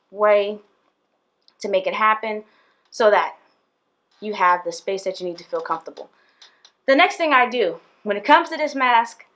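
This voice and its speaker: A woman's voice: medium pitch, fairly bright tone. A young woman, a teacher, talks to the camera in a small indoor room. Her delivery is chatty and direct, full of fillers and asides to the viewer. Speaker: PE teacher and video host. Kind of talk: casual talking-head tips video.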